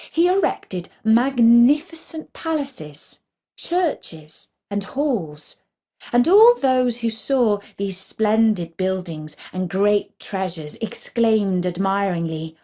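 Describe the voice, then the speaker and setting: A woman reading a scripted fairy tale for children in a measured, deliberate storybook manner. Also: mid-range voice, warm tone.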